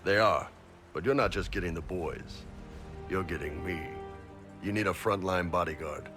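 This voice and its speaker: Over prominent music, a man plays a huge horned mercenary captain in a fantasy game. His voice is very low, and he talks bluntly and informally, with bluff, candid good cheer. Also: moderate pace, lightly acted. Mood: confident, jovial. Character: big horned male Qunari mercenary boss, bluff and candid. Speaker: mercenary captain and self-declared spy. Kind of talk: video game character dialogue.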